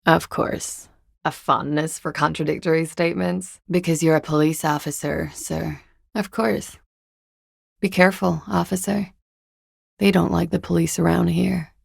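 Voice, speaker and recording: A woman's voice: fairly low pitch, steady tone, measured pace, vocal fry, slightly rough, dry recording, heavily acted. An actress playing a cool, sardonic, world-weary young woman in a video game, speaking with languid, dry politeness in an intimate voice. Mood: dry, polite. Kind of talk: video game character dialogue.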